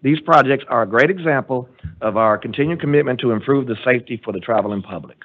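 A man gives a formal report at a board meeting, reading steadily from his slides. His voice sits in an ordinary middle pitch. The sound is thin and tinny, like a phone line. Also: fast. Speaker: transportation department director presenting a report.

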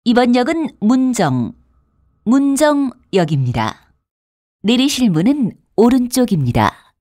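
A woman's voice: on the high side, big pitch swings, slow pace, a little mellow, no echo, clean studio sound. A woman reading scripted transit announcements in a formal, polite manner with careful enunciation. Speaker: subway announcer.

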